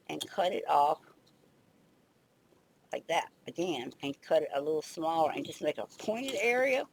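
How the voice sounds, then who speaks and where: Older woman host, slightly under the weather, raspy and gravelly, with a low voice and a chatty, unhurried tutorial manner. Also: slight background noise, poor audio. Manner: chatty, thinks aloud while working. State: slight head cold, raspy. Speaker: craft video host. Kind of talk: casual crafting tutorial.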